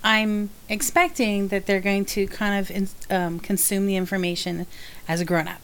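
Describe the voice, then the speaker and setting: A woman's voice: mid-range voice, fairly even intonation, moderate pace, clear, resonant tone. Give me a woman in thoughtful casual chat. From United States. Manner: thoughtful, talks at an uneven pace with fillers. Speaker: podcast co-host who teaches spinning.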